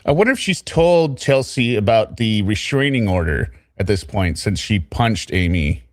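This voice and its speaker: Guy hosting a recap show, casual chat, animated, pitch all over, dry mic. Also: moderate pace, dry recording. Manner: animated, mimics quoted people in a raised voice. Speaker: host of a trial recap show. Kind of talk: casual commentary with read-out quotes.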